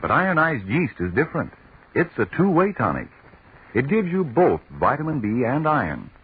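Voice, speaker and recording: A man playing a reassuring pitchman in a 1940s radio commercial, on a tinny old recording. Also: sing-song, normal speed, a little hiss or hum, close to an everyday voice. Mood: reassuring, persuasive. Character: reassuring male pitchman advising a tired young woman. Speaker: radio advertisement announcer.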